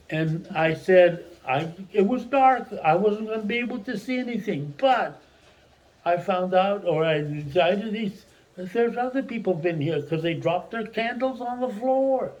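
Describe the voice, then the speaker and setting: An older man from Mexico whose first language is Spanish, a retired teacher telling a long childhood story to his family in a rambling, animated way. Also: high-pitched, unhurried, muffled recording. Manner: rambling, animated storyteller who restarts sentences.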